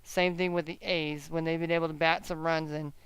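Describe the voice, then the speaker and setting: A man hosting a casual solo sports betting chat, often halting and repeating himself as he talks through his picks. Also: on the high side, little pitch movement, fairly bright tone, clear, resonant tone, no echo, low-quality recording. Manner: halting, repeats words, hedging gut calls. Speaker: sports betting show host.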